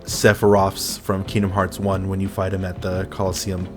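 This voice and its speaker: A man's voice: low voice, completely flat delivery, normal speed, over music. A man hosting a casual podcast chat, rambling loosely, swearing, and laughing often.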